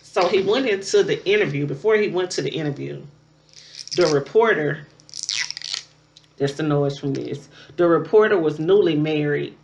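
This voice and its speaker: A woman's voice: deep voice, moderate pace, vocal fry, darkish tone, dull audio, a little hiss or hum. A woman aged thirty-nine chatting casually and unscripted to her viewers as a vlogger, with emphatic, conversational phrasing. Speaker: vlogger reviewing a movie. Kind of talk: casual unscripted chat to camera.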